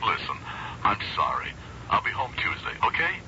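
An actor playing a brisk businessman on a telephone call in a radio drama. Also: fairly low pitch, wildly swooping pitch. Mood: apologetic. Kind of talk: 1970s radio drama acting. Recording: muffled, very noisy old radio broadcast.

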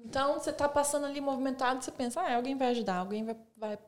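Chatty young Brazilian woman, slightly high. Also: racing. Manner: chatty, storytelling, frequent "tipo" and "sabe". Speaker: podcast guest, violinist. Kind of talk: casual interview chat.

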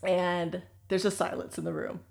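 A woman co-hosting a casual talk show, telling a story with lively, laughing energy. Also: fairly low pitch, normal speed, gravelly.

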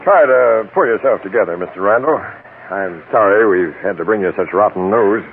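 An actor playing a brusque, methodical police captain questioning people in an old-time radio crime drama. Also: male voice, on the low side, moderate pace, over music, close to an everyday voice. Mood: businesslike, probing. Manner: probing, hesitant uhs, curt. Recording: noisy, narrow-band old radio recording.

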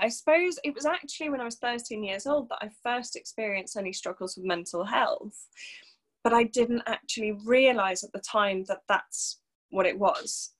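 A woman's voice: medium pitch, a bit bright. A woman in her thirties from North Wales, a coach, talking openly and reflectively as a podcast guest, with many fillers. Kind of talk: casual interview chat.

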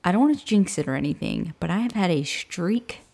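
Woman book podcast host, bit raspy, lowish gravelly voice, chatty. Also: expressive, a little fast, dark tone. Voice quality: slightly raspy. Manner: chatty, laughs often. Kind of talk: casual chat, book review.

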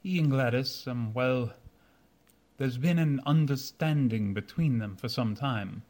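A man playing a proud, haughty young gentleman in a radio drama, speaking stiffly and courteously. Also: mid-range voice, a little slow, lightly acted. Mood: cool, guarded.

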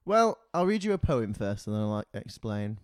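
A man's voice: lowish voice, sing-song, normal speed, no room sound, clean studio sound. A British man in his late twenties, co-hosting a podcast with casual comedic banter. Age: late 20s. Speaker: podcast co-host.

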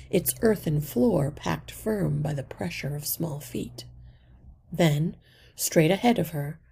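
Lady reading a kids book aloud, really raspy and creaky, takes her time, gets hushed at phrase ends. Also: fairly low pitch. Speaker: read-aloud podcast narrator. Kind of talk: expressive children's storybook reading.

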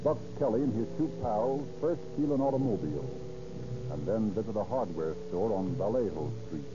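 A man's voice: lowish voice, animated, normal speed, lightly acted. A man delivering scripted narration for a 1930s radio crime drama. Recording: dull old radio recording with music.

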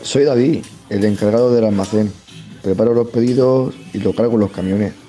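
Man playing a dark-voiced warehouse foreman. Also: on the low side, moderate pace, soft background music, lightly acted. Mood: matter-of-fact. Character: married warehouse foreman, fit man in his thirties. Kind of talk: amateur radio soap acting.

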